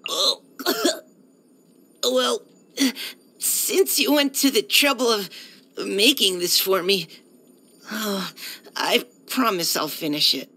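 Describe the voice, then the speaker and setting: An actor playing a shy, earnest, polite young knight surveyor in a video game, speaking hesitantly and eager to please. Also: male voice, sits high, slow pace, pauses often, sharp tone, full character voice. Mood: grateful, warm. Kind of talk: scripted video game character lines.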